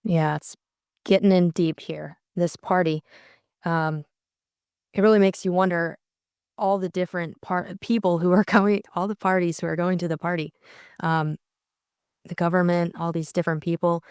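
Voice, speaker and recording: A woman's voice: on the low side, little pitch movement, moderate pace, pauses often, darkish tone, clean tone, dry recording, clean studio sound. A young adult woman co-hosting an audiobook, reading narration and casually discussing the book.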